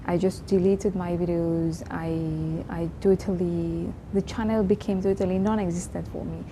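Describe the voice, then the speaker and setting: A woman in her twenties from Mauritius, whose first language is Creole, talking earnestly and reflectively in a personal vlog, pausing and restarting often. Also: on the low side, steady tone, background noise. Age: 20s. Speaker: vlogger. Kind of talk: casual personal vlog talk.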